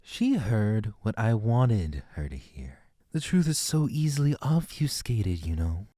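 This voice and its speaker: An actor playing a manipulative fey lord in an audio drama, with a theatrical, condescending, deliberate delivery. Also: mid-range voice, sing-song, dark tone, no echo, clean studio sound. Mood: taunting. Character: manipulative fey lord Oberon, smooth and menacing captor.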